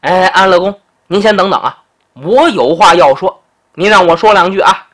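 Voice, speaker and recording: Guy voicing a sharp clever official, scolds fast, bright voice. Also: sits high, animated. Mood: scolding. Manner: quick, scolding, lecturing.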